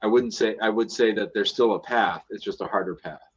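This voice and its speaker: A man with a fairly low voice runs a live call-in advice show. He talks bluntly and casually and thinks out loud. The stream audio sounds dull and lo-fi. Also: brisk, slightly rough. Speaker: immigration lawyer hosting a live call-in show.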